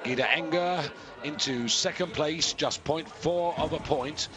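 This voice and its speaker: A man giving live, measured commentary on a ski jumping competition, explaining scores and distances with pauses and fillers. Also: on the high side. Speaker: sports commentator.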